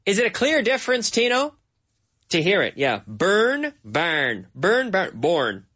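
Slow-drilling Canadian man teaching English. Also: sits high, wildly swooping pitch, sharp tone. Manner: slow, repeats words for drilling. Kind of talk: bilingual English lesson.

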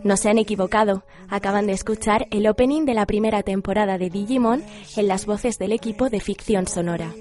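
A woman's voice: medium pitch, fairly quick, mellow. A woman presenting a radio programme with a scripted introduction.

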